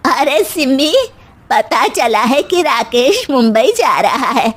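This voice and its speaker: A woman's voice: sits high, big pitch swings, slow, voice pushed up, exaggerated voice. A woman voicing a credulous, motherly older mother-in-law with a fussing manner. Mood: concerned.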